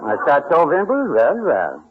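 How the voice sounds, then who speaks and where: An actor playing an easygoing, simple immigrant husband with a Scandinavian accent and folksy broken English in radio comedy. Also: male voice, fairly high pitch, huge pitch swings, a little slow. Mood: placid, jovial. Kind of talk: old-time radio comedy acting. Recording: muffled, noisy vintage radio recording.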